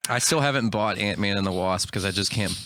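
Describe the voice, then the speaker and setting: Dude on a movie podcast, lowish but bright voice, joking around, irreverent, very dry sound. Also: male voice, brisk, a little hiss or hum. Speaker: podcast co-host. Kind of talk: casual movie chat.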